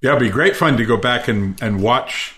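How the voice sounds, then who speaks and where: A man's voice: mid-range voice, expressive, normal speed, pushed and bright. An older man, a stage actor, talking reflectively and effusively in a panel discussion, articulate as he thinks aloud.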